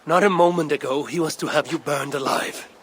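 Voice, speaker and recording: An actor playing a hardened Viking leader, gravelly, blunt and commanding, in a video game. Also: male voice, on the high side, fairly even intonation, a little fast, fairly bright tone, slight background noise, natural voice. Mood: grim, weary. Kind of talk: dramatic video game acting.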